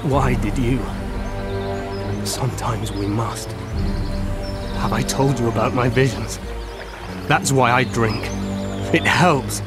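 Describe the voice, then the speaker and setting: An actor playing a dying elf scout in a fantasy video game, weak, halting and solemn. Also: male voice, mid-range voice, over loud music, close to an everyday voice. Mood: weary, solemn. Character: dying male elf scout, weary and visionary.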